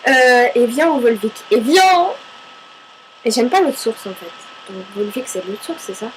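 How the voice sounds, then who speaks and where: A woman from Paris with a fairly high voice, reading out viewer questions and answering them in a loose, chatty way. She mocks herself, swears now and then and answers her own remarks. A faint hum sits under her voice. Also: expressive, measured pace, long pauses, rough recording. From Paris, France. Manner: chatty and self-mocking, argues with herself, swears casually. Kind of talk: casual FAQ chat to camera.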